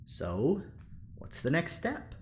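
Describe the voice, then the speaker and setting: An actor playing an upbeat, cheeky young engineer in an audio drama, talking casually and teasingly with halting bursts of enthusiasm. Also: mid-range voice, wildly swooping pitch, a little mellow, a little hiss or hum. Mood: coaxing. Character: upbeat, cheeky Midwestern engineer, breezy and persuasive. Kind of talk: naturalistic audio drama acting.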